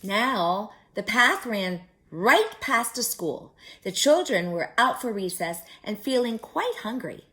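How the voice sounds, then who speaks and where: Animated, slow-paced woman reading to children. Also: mid-range voice. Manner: explains pictures simply, sometimes dropping to a hush. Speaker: children's storyteller and teacher. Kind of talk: animated picture-book read-aloud with asides to children.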